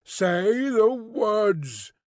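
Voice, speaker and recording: A man voicing a hunched, beastly male lawgiver who speaks in a thick voice with a whistling overtone and intones slowly in sing-song. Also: high voice, sing-song, darkish tone, no echo, clean studio sound, pitched above the performer's own voice, heavily acted. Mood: commanding. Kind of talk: audiobook character voice.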